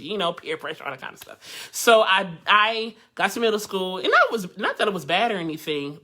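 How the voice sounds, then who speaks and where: Young male voice teacher, high bright nasal twang, chatty and candid. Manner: chatty and candid, addresses the group directly. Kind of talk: live online vocal workshop. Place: living room at home.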